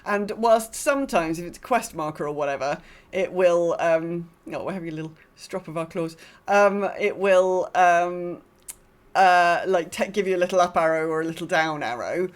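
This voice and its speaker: A woman's voice: on the low side, normal speed. A woman co-hosting a podcast, chatting casually about gaming in a wry, conversational way with occasional swearing. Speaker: podcast co-host.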